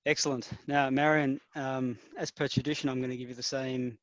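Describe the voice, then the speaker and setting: A man's voice: medium pitch, little pitch movement, fairly quick, no room sound. A man hosting an online event, introducing speakers in an upbeat way with lots of fillers. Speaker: event host. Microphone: remote video call. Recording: dull lo-fi call audio.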